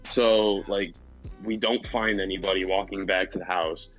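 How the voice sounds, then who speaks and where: A man's voice: sits low, steady tone, moderate pace, fairly bright tone, highs cut off. A young man casually telling a story to friends in a rambling, slangy and profane way. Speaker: podcast co-host telling a personal story. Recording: background music under voice. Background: music.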